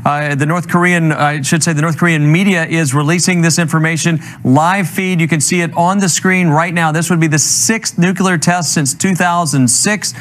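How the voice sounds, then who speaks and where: A man anchoring a live breaking news report, speaking haltingly with many fillers and restarts. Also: on the high side, steady tone, a bit bright.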